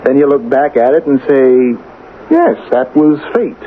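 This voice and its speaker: An actor playing an educated, probing radio reporter in a vintage radio drama, speaking in a formal, measured, inquisitive way. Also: male voice, medium pitch, very animated, slight background noise. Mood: probing, polite. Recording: lo-fi old radio recording.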